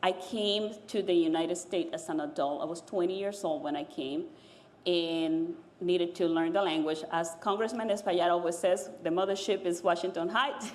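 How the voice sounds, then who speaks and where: Dominican-born woman, low-pitched, measured panelist. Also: steady tone. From Dominican Republic. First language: Spanish. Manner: measured, pausing, searching for words. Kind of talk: personal story on a panel.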